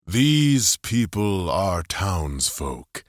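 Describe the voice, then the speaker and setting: Man doing deep-voiced stoic armored giant, weary leader, grave measured words, slow, dry close sound. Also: big pitch swings, no room sound, exaggerated voice.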